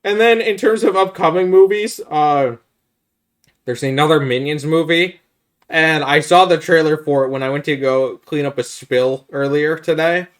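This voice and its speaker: A man's voice: fairly high pitch, moderate pace. A man co-hosting a podcast, talking casually and giving blunt, critical opinions. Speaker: podcast co-host. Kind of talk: casual opinionated chat about films.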